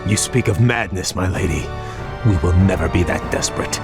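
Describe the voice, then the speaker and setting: An actor playing a proud, troubled young elf prince in a fantasy game, speaking in a grave, formal way. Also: male voice, sits low, normal speed, close to an everyday voice. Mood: tense. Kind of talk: dramatic fantasy game voice acting. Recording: background music under the voice.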